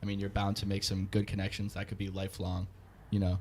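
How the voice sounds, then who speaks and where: A man's voice: sits low, monotone, fast talker, darkish tone, a little hiss or hum. A nineteen-year-old man chatting casually as a student guest on a talk show. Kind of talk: casual chat.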